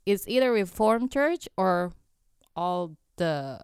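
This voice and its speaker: A woman in her thirties from Indonesia, telling her story as an interview guest in a soft-spoken way with frequent laughter. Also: medium pitch, big pitch swings, slow, clean tone, no room sound, clean studio sound. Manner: soft-spoken, laughs often, short replies. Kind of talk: casual interview conversation.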